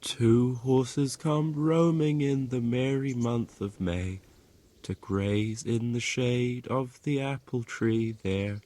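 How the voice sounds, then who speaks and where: Guy doing a gentle simple stable lad in a medieval game, really slow talker, halting, mid pitch. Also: lightly acted. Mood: sing-song reciting. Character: simple, gentle medieval stable hand who loves horses. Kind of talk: video game cutscene dialogue.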